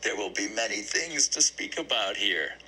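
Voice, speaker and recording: A man's voice: fairly low pitch, expressive, normal speed, sharp tone, breathy edge, exaggerated voice. A man voicing a small, lost, dreamy boy who declaims with longing in a play. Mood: dreamy, yearning. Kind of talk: quoted child in a play monologue.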